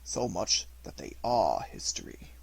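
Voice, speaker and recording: A man voicing a self-assured, mischievous Victorian gentleman detective in an audiobook, with measured, formal phrasing. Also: sits low, wildly swooping pitch, creaky, breathy edge, no room sound, pitched below the performer's own voice, heavily acted, rough recording. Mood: amused, intrigued. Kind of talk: audiobook character reading.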